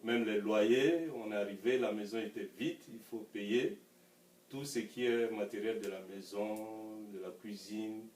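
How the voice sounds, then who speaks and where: A middle-aged man with a francophone African accent speaks in a fairly low voice. He answers an interviewer in a measured way, pausing to find words. The sound is distant and echoey, with faint noise behind. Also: a little mellow. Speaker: interview guest. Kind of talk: recounting personal experience in an interview.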